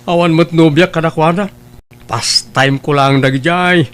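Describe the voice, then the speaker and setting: A man's voice: fairly high pitch, steady tone, a little slow, a bit bright, clear, resonant tone. A man playing a carefree, flippant young womanizer in an audio drama, with an Ilocano accent. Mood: flippant, dismissive. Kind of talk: Ilocano radio-style audio drama acting.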